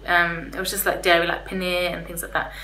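Young female vlogger, casual and a little hesitant, bright tone, recorded in an echoey room. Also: lowish voice, completely flat delivery, brisk. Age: young adult. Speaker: vlogger reviewing restaurants. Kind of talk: casual chat to camera. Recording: roomy echo, faint background hiss.

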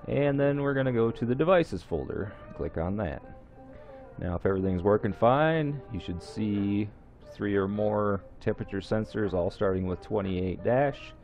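Gravelly low-voiced man explaining steps. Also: mellow. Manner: plain, step-by-step instructions with some fillers and restarts. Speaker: host of a how-to video series. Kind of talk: screen-recorded tech tutorial. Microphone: voice-over recorded over screen capture.

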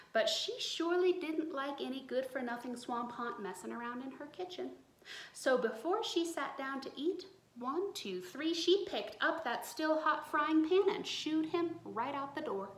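A woman in her thirties reading a picture book aloud to children with dramatic, drawn-out emphasis. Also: high voice, animated. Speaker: children's storyteller. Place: small bright room indoors. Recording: roomy indoor echo.

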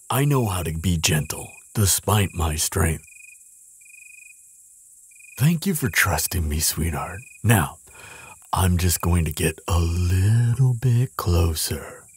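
Casting: male actor for a kindly, protective giant in an audio roleplay; very low, slow voice, tender and soothing. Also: darkish tone, slightly rough, heavily acted. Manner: tender, reassuring, polite, slightly hesitant. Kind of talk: roleplay audio drama, speaking soothingly to the listener.

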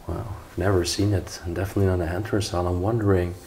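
A young man gives a casual walkthrough of a large abandoned building, pointing things out for his viewers. His voice sits low and stays fairly flat, even when he is impressed. Faint background noise comes through on the camera mic. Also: fast talker, creaky. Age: young adult. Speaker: urban explorer vlogger. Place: large abandoned castle indoors.

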